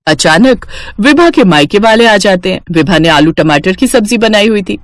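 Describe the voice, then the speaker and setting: A woman's voice: medium pitch, animated, moderate pace, no room sound, clean studio sound. A woman narrating a scripted moral story as the storyteller.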